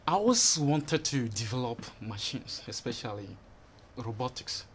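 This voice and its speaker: A man's voice: mid-range voice, expressive, unhurried. Man from Ghana, twenties, TV studio guest, rambling answers, many fillers, faint background noise. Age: 20s. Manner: rambling, fills with "you know" and "you understand". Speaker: interview guest. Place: TV studio set.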